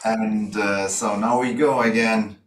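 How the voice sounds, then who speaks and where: Host guy on a video call, lowish voice, kinda hesitant, sounds far off in an echoey room with faint hiss. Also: fairly even intonation, slow. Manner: brief, hesitant interjections. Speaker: panel host. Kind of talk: casual online panel hosting. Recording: echoey room, distant mic, faint noise.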